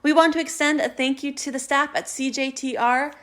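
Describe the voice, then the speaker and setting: A woman's voice: high voice, steady tone, brisk, a bit bright. A woman presenter reading a scripted radio outro. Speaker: showcase presenter.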